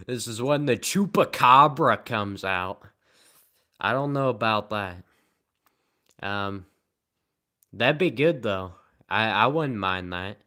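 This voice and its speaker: A man's voice: on the low side, lively intonation, slow, pauses often, sharp tone. Tired, sarcastic man live streaming. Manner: rambling and sarcastic, with self-mocking jokes. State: tired, low energy. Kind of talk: casual live stream chat, answering viewer comments.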